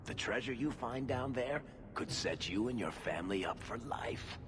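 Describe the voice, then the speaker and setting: An actor playing a wry, smooth-talking dwarf rogue and dealmaker in a video game, persuasive and glib. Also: male voice, mid-range voice, expressive, normal speed, noisy background, natural voice. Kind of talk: video game cutscene dialogue. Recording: music behind the voice. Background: background music.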